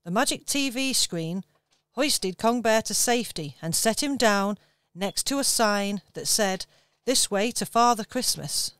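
A woman narrating a children's radio pantomime in clear, steady storytelling. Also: medium pitch, a little slow, dry recording.

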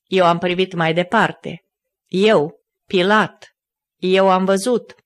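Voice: medium pitch, natural voice. A reader voicing Pilate as a sick, feverish Roman governor who moans weakly and then raves wildly, in an audiobook. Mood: wild, raving. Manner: weak and moaning, then wild shouting. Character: dying feverish male Roman governor, raving.

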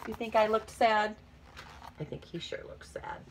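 A woman's voice: medium pitch, slight background noise, rough recording. A middle-aged woman teacher reading a picture book aloud to young children, expressively, with chatty asides. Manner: expressive, acts out feelings with emphasis and whispers. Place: small cluttered room at home, indoors.

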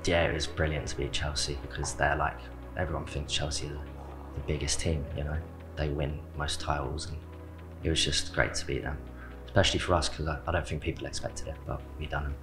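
A man's voice: a bass voice, flat delivery, a little fast. A young man in his late teens from south London with a South London accent, a young footballer answering interview questions in short, plain replies with "like" as filler. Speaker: academy footballer. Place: indoor club media studio. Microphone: handheld mic. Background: background music.

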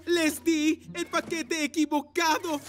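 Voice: very high voice, level tone, slow pace. An actor voicing a friendly, scatterbrained adult male delivery motorcycle in a children's cartoon, muttering and exclaiming. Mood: flustered, worried. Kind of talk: animated children's show dubbing. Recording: music behind the voice.

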